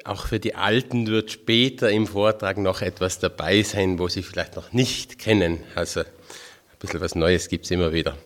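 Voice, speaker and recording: Tyrolean man in his fifties, lowish voice. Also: fairly even intonation, moderate pace. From Tyrol, Austria. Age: 50s. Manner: homely, chatty, self-deprecating with dialect phrases. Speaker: religious brother and chaplain giving a talk. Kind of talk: spiritual lecture to a group.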